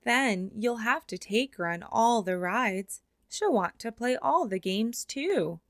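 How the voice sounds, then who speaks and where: A woman reading a children's picture book aloud in an expressive way, slowing down for the closing lines. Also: fairly high pitch, no echo. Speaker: storybook reader. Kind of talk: children's read-aloud narration.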